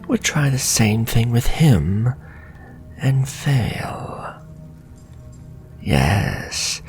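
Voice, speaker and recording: A sly warlock voiced by a man murmurs in a very low, gravelly half-whisper for a fantasy ASMR roleplay. He is flirtatious and sadistic, taunting at an unhurried, drawn-out pace. Soft music plays behind him. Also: full character voice. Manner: slow, taunting, breathy murmur with soft chuckles. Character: sly, sadistic, flirtatious male warlock striking a cruel bargain.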